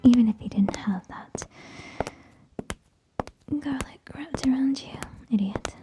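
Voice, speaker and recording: A woman playing a haughty, teasing tsundere vampire in a scripted ASMR roleplay, whispering scornfully and deliberately, close to the microphone. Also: fairly high pitch, music in the background, low-quality recording. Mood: irritated, lecturing.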